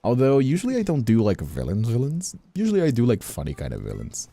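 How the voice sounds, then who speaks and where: A man's voice: mid-range voice, huge pitch swings, fast talker, creaky, warm tone. A man who works as a streamer and voice actor, chatting casually in a rambling, candid way and repeating his words. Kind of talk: casual chat.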